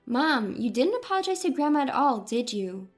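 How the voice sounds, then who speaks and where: A woman's voice: high voice, big pitch swings, moderate pace, faint music behind. A woman voicing a determined, defiant young adult daughter who speaks in a plain, resolute way in a read story.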